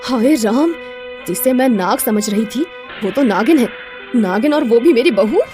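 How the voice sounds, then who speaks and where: A woman's voice: fairly high pitch, animated, measured pace, dark tone, soft background music. A woman voicing an anxious, suspicious middle-aged mother-in-law in a dramatic moral story. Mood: shocked.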